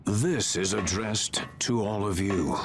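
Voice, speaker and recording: A man voicing a cold, authoritative commander in a video game cutscene, with formal, measured, unyielding phrasing. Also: on the low side. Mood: cold, firm. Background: music.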